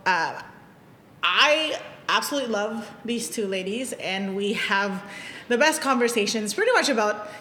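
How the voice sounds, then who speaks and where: A woman pastor hosting a casual church podcast chat, talking loosely and laughing often. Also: bright, forceful tone. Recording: echoey room with faint rumble.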